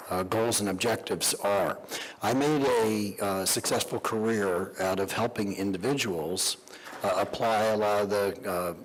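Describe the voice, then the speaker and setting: Hoarse older man speaking in a hall. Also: lowish voice. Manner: earnest, halting, many "uh" fillers. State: hoarse, has lost his voice. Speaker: conference panel speaker. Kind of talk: informal speech to an audience. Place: large conference hall. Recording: reverberant hall.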